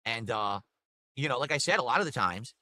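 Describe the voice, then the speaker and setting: A man's voice: mid-range voice, brisk. A man chatting casually on his stream, thanking viewers for tips and talking about his week. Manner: chatty, reads donations aloud, relaxed. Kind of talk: casual livestream chat.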